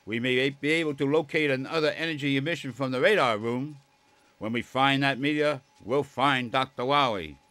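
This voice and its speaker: A man voicing a grave, kindly elderly scientist mentor in an animated game, speaking in a measured, solemn way. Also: medium pitch, slight background noise, close to an everyday voice. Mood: urgent, solemn. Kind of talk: animated game cutscene dub.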